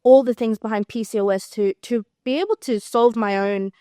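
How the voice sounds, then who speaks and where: A young Australian woman talks through her work in easy podcast chat, and her stories bounce along with little acted-out quotes. Her voice is smooth and clear, recorded close and dry with no room sound around it. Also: on the high side, a little fast, no room sound. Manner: chatty, animated storytelling with acted-out quotes. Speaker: physiotherapist guest.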